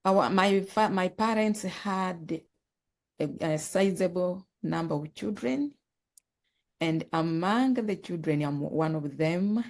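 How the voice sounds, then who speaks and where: A woman from Uganda, telling her life story as a podcast guest, earnest and halting, often repeating words. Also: fairly low pitch. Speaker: guest, author and nonprofit founder.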